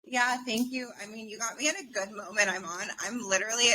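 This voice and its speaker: A woman's voice: a little high, fast, pushed and bright, slight background noise, low-quality recording. A woman speaking as a guest on a podcast, frank and emphatic, with lots of "like" and "you know". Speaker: cannabis business consultant, guest. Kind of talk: casual podcast interview. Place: outdoors on an island.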